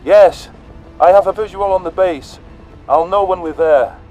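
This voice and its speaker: A man's voice: high voice, measured pace, mellow, faint music behind, voice pushed up, poor audio. A man voicing an earnest, emotional male alien soldier, guilt-ridden and vengeful, in a science fiction audio story. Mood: tense, resolute.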